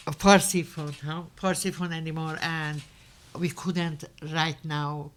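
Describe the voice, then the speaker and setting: A woman's voice: low-pitched, steady tone, measured pace, a little hiss or hum. An older woman with a Persian accent, an author speaking reflectively on a panel, often repeating words as she searches for them. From Iran. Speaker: guest author. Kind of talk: panel discussion.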